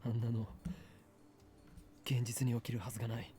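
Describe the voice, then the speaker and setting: A man's voice: medium pitch, level tone, fast, mellow, faint music behind, natural voice. An actor voicing an anxious, shaken young man in a Japanese visual novel. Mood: shaken denial. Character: anxious, shaken young man, self-styled mad scientist.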